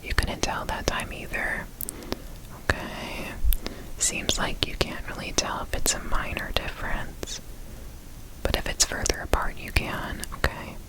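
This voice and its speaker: Woman, breathy ASMR whisper, unhurried pace, gentle examiner roleplay, reassuring instructions. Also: rough recording. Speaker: ASMR performer playing an examiner.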